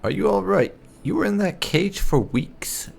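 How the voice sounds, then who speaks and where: A man hosting a gaming video, giving casual, wry playthrough commentary with some light roleplay in a gravelly voice. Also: sits high, lively intonation, measured pace. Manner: wry, sarcastic. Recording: game music behind. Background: game music.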